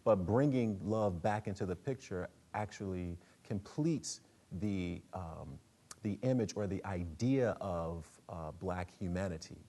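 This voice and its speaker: A man giving a prepared literary talk that introduces dramatic readings, with measured, halting phrasing. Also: low voice, mellow. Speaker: speaker, literary presenter.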